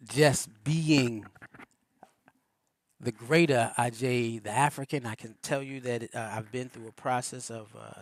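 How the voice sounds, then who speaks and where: A man's voice: on the high side, a bit bright, no room sound. A man, a reverend, giving a loose, conversational spiritual teaching on a livestream, with many fillers and restarts.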